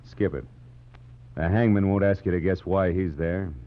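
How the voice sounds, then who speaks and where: A man's voice: deep voice, moderate pace, natural voice. An actor playing a tough, wisecracking American private detective in a 1940s radio drama, clipped and wry as he acts and narrates. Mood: cool, guarded. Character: tough wisecracking private detective, sardonic and cool under threat. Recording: muffled vintage 1940s radio recording, music underneath.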